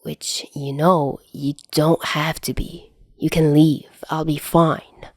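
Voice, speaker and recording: A woman plays a stubborn young man in an audio story, low-voiced and gruff. He is injured, defensive and quick to temper, recorded close and dry. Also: flat delivery, darkish tone, dry recording, exaggerated voice. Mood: grumbling, weary.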